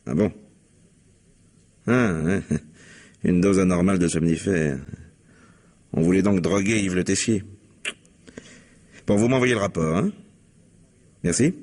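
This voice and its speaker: A man playing a shrewd police commissioner in a French radio mystery in 1950s style, questioning briskly and insistently with pointed courtesy. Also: sits low, a little mellow. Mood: businesslike. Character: shrewd, probing middle-aged male police commissioner. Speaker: actor playing a detective.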